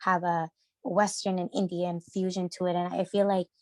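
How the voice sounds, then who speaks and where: A young woman from Sri Lanka, a podcast guest talking casually and haltingly, with many fillers and restarts. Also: on the low side, flat delivery, a little mellow, dry recording. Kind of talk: casual discussion.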